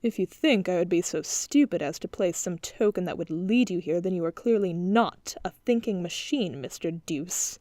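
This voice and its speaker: A woman voicing a menacing male crime boss who talks slowly and quietly threatens. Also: fairly low pitch, no echo, natural voice. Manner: slow, measured, quietly threatening.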